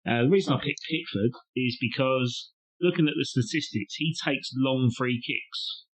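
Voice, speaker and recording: A man's voice: medium pitch, moderate pace. A man co-hosting a podcast, chatty and telling stories with laughs. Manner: chatty, storytelling, laughs often. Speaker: podcast co-host. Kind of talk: casual chat. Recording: dull lo-fi sound.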